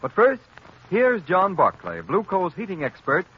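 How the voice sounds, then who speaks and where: A man's voice: high-pitched, wildly swooping pitch, measured pace, husky. A man with an American accent announcing formally in 1930s radio style. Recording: muffled, noisy 1930s radio recording.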